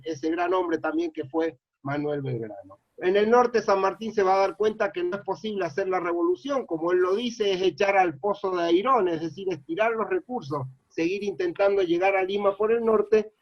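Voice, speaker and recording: A man's voice: high-pitched, no room sound. A middle-aged man from Mendoza with an Argentine accent, giving an earnest, rambling public lecture full of "eh" and "este" fillers. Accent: Argentine Spanish. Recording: dull lo-fi dry sound.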